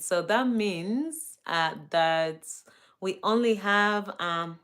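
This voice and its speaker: Relaxed Kenyan woman chatting slowly. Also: mid-range voice. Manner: relaxed, chatty, laughs often, many fillers and restarts. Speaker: livestream host. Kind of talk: casual livestream chat reading viewer comments.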